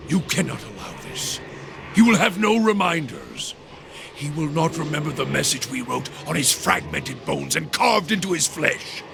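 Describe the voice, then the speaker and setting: An actor playing a grim, vengeful companion in a fantasy video game, speaking formally and declaiming with a gravelly creak. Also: male voice, fairly high pitch, big pitch swings, moderate pace, heavily acted. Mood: outraged, vengeful. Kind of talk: video game character dialogue. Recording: game music behind the voice. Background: game music.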